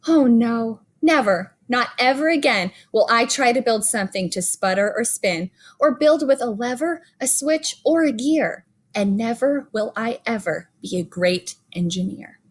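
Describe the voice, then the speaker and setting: Woman doing shy little inventor girl in a picture book, doubts herself, kinda high voice, slow and expressive. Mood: dismayed, defeated.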